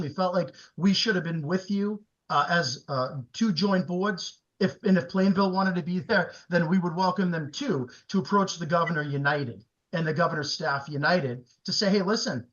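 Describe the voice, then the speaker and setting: A man speaking as a town board member at a public meeting, earnest, with many pauses and fillers. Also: high voice, a bit bright, lo-fi sound.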